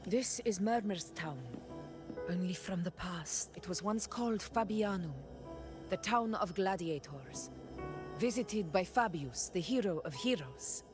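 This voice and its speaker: Low, deliberate warrior queen. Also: animated, music in the background. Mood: grave, reflective. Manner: stately, formal, measured. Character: Augusta, proud, resolute warrior woman and ruler. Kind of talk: video game voice acting.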